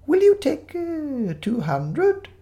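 A man voicing an elderly, doddering vicar in a story reading, with a quavery voice and a slow, genteel, pious manner. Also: extremely high pitch, huge pitch swings, dark tone, pitched above the performer's own voice, exaggerated voice. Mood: gently wily. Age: older.

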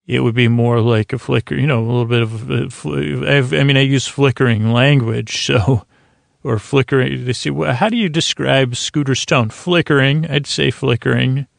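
A man hosting a podcast, with a creaky, slightly smoky voice, rambling through a soothing bedtime monologue in a meandering, halting, self-deprecating way. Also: medium pitch, steady tone, dry recording. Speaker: podcast host.

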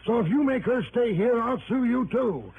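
An actor playing a loud, bullying American con man in 1960s radio drama, blustering and threatening in slang. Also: very high-pitched, animated, normal speed. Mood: bullying, indignant. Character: loud bullying con man posing as aggrieved hotel guest. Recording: tinny, noisy old radio broadcast.

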